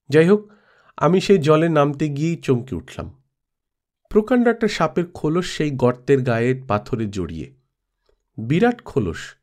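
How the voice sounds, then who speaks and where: Man reading a literary story. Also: medium pitch. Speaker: storyteller reading a classic audiobook. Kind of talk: scripted literary narration.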